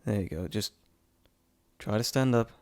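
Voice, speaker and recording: A man's voice: lowish voice, normal speed, close to an everyday voice. A man playing a playful, cheeky messenger god in a dramatic roleplay monologue, chatty and theatrical, talking straight to the listener. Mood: soothing. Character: playful, teasing male Greek messenger god, cheeky trickster.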